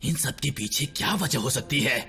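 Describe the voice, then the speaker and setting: An actor playing a grumpy, sceptical robot engineer in a cartoon dub, grumbling and expressive. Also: male voice, mid-range voice, moderate pace, faint music behind. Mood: puzzled, sceptical. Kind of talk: dubbed cartoon acting.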